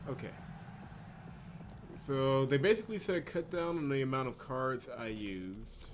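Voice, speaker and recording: A man's voice: mid-range voice, measured pace, soft background music. A man hosting a video game playthrough, talking casually in an exasperated, grumbling manner. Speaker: host of a visual novel playthrough channel. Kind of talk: casual gameplay commentary.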